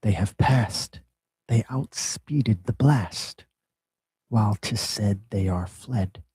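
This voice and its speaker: A man reading the part of a young sea nymph, hushed and wondering, husky-voiced. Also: on the low side, slow, no echo, full character voice. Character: Panthea, a gentle young female oceanid.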